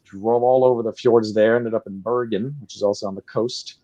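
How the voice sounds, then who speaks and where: A man from Sweden, a guest executive, telling a travel story in a relaxed, friendly way. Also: on the low side, a little fast, no room sound, dull audio. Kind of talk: casual interview chat.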